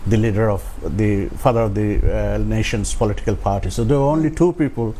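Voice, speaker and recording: A man in his sixties with a Bangladeshi accent tells his own story as an interview guest, haltingly, with filled pauses. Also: lowish voice, mellow, slight background noise. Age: 60s.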